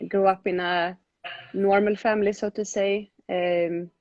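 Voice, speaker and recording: A woman's voice: medium pitch, little pitch movement, a little slow, a little mellow, no echo, highs cut off. A woman show jumper answering questions in a live online interview, speaking in a plain, conversational way.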